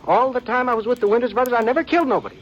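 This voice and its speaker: An American actor playing a nervous, defensive outlaw in an old radio western, stammering and wheedling in folksy rural speech. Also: male voice, extremely high pitch, racing, muffled recording. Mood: defensive, aggrieved. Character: nervous, defensive outlaw turned informer, pleading for protection. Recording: noisy vintage radio drama recording.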